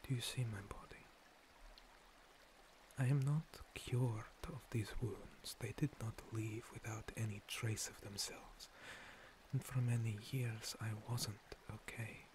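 A man playing an ancient, gentle monster priest, a scarred former paladin, in a breathy, halting, soothing ASMR roleplay whisper. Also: on the low side, steady tone, background noise. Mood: tender, wistful. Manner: slow, soothing, halting pauses. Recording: water streaming and pouring behind. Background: running water.

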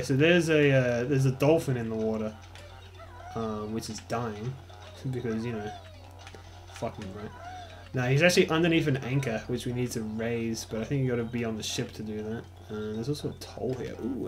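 A young Australian man with an Australian accent commentating casually over gameplay, rambling and swearing freely. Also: medium pitch. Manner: rambling, swears a lot, self-mocking. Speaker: let's play host.

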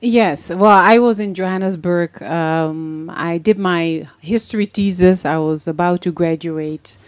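Low-pitched woman from the Netherlands, dry sound. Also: a little slow, dry recording. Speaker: talk show guest. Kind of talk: casual conversational recollection.

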